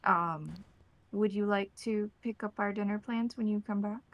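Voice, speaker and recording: A woman playing a concerned, reserved male partner on a phone call, speaking in a measured, formal, polite way. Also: medium pitch, no room sound, close to an everyday voice. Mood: gentle, reserved.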